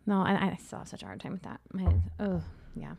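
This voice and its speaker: A woman in her early thirties with plenty of vocal fry, chatting candidly as a podcast co-host. She is self-deprecating and casual, and the recording is close and dry. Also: on the low side, quick pace, a little mellow, no echo, in a whisper. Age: early 30s.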